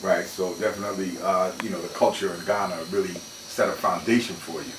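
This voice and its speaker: A man hosting a radio interview in a studio, relaxed, with many "uh" fillers, calling his guest brother. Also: low-pitched, fairly quick. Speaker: radio show host. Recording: roomy, distant mic with noise.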